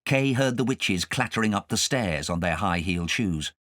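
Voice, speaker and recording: A man narrating a storybook as a scripted audiobook, with dramatic, expressive storytelling. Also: on the low side, moderate pace, no echo, clean studio sound.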